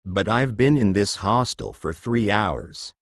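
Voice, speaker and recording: Friendly, keen young photographer for a storybook played by a man, deep pitch, unhurried, clearly enunciated enthusiasm. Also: dry recording, clean studio sound. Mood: eager. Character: eager, friendly boy photographer from Iraq.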